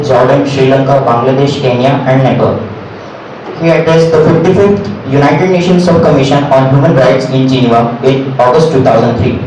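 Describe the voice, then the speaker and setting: A man with an Indian accent acting as emcee, formally reading out a guest's credentials as a list. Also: medium pitch. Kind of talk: formal introduction. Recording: echoey hall, distant mic, noise.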